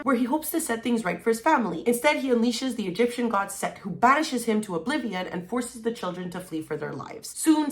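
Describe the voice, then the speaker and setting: A woman hosting a book vlog, talking to viewers in an enthusiastic, informal and slangy way. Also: mid-range voice. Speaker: book vlogger, channel host. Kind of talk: chatty scripted-and-casual book vlog to camera.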